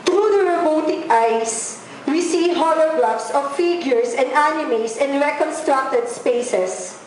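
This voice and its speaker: A woman's voice: high voice, takes it slowly, darkish tone. A woman with a Filipino accent reciting poetry in a solemn, declamatory, dramatic style. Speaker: poet reading at a book launch. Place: indoor event hall with a sound system. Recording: echoey hall, noisy event recording.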